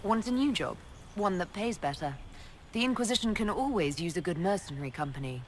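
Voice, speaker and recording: Actress as a lively, confrontational heroine. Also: female voice, lowish voice, brisk, slight background noise, natural voice. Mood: businesslike. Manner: direct, challenging. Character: confident, confrontational leader of an inquisition. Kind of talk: video game voice acting.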